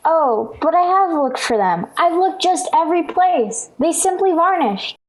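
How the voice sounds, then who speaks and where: A woman's voice: very high-pitched, lively intonation, measured pace, rough recording. A woman playing a dramatic, cheeky little schoolgirl daughter in a 1940s radio sitcom. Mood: fretful. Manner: whiny, overdramatic.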